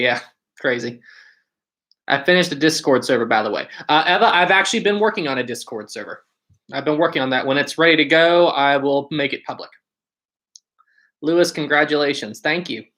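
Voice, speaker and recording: Guy with a west virginia accent chatting on his livestream, kinda high voice, really bright and informal. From West Virginia, United States. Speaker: livestream host. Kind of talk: casual livestream chat, answering viewer comments.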